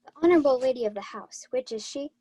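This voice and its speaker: A courtly young gentleman messenger in a Shakespeare radio play, acted by a young woman. The voice is fairly high and dry in sound, with ardent, declamatory delivery. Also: animated, fast talker, no echo. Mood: courtly flattery. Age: young adult. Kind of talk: 1940s radio Shakespeare acting.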